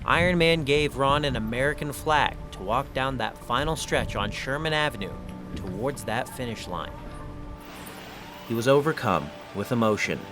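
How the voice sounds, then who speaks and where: Man, sports reporter, scripted narration, music bed. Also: medium pitch. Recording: narration over loud music.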